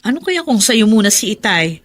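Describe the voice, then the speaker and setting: An actress playing a dutiful, reproachful elder sister in a radio drama, earnest and chiding in manner. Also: female voice, medium pitch, big pitch swings, moderate pace.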